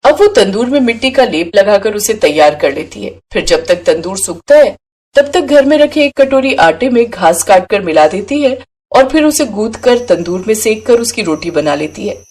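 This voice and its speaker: A woman's voice: mid-range voice, lively intonation, normal speed, a little mellow. A woman storyteller reading scripted narration for a moral bedtime tale.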